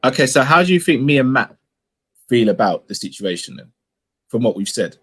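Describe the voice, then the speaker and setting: Host, easygoing comment reader, mid-pitched, calm. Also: male voice, a little fast, slightly rough. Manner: laid-back, chuckling, conciliatory. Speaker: host reading viewer comments. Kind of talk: casual podcast chat, reading comments aloud.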